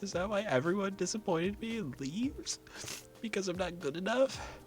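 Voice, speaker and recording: A man's voice: moderate pace, fairly bright tone, soft background music. A man voicing a lonely young protagonist who is crying and pleading, afraid of being left alone. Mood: sobbing, pleading. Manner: tearful, pleading, voice breaking high.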